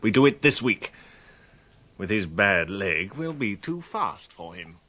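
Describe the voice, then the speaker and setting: An actor playing a guarded, irritable middle-aged English husband with something to hide, clipped and coolly polite, in a radio drama. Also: male voice, lowish voice, big pitch swings, unhurried, pushed and bright, heavily acted. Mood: scheming. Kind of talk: British radio drama acting.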